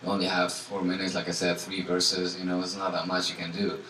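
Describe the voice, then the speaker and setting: A man from Tunisia, a guest musician answering audience questions in a relaxed way, full of "you know" and fillers. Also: low-pitched, brisk. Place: indoor salon event. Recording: echoey room from far off, faint noise.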